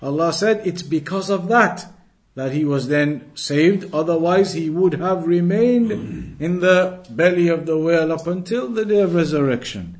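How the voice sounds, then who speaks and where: A man giving an Islamic lesson as a religious teacher, reciting from a text and explaining it in a deliberate way, repeating phrases for emphasis. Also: on the high side, expressive, a little mellow.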